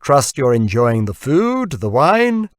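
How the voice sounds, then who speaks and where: A man's voice: medium pitch, sing-song, takes it slowly, dry recording, studio quality, voice pushed up. A man reading an audiobook as a proud, glum man who puts on hearty bonhomie, blustery and wry. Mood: forced cheer. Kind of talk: audiobook character dialogue.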